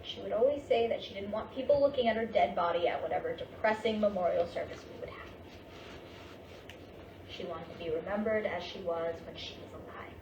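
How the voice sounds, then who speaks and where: A woman acting an anxious, sharp-tongued wife and mother who is grieving, in a staged play reading with clipped, pointed delivery. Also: medium pitch, a little fast, muffled recording, lightly acted. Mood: tender, grieving. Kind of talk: staged play reading, naturalistic dramatic dialogue. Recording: distant, echoey room with clear background noise.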